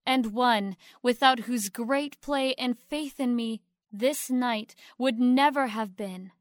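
Woman acting as young stage actress, audio drama, high-pitched, measured slow delivery, formal, humble, sweetly calculating. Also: dry recording, studio quality. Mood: grateful. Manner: measured, formal, demure, with deliberate pauses. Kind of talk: theatrical award acceptance speech in audio drama.

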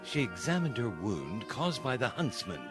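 Theatrical male storyteller with swooping pitch. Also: big pitch swings, moderate pace. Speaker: narrator. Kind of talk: dramatic scripted storytelling. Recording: prominent music under the voice.

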